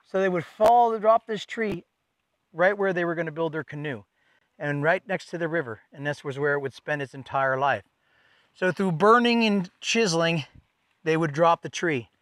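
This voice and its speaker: A man's voice: on the high side, normal speed, fairly bright tone, dry recording. A man, explaining an old craft in a folksy, enthusiastic way while standing outdoors in a forest.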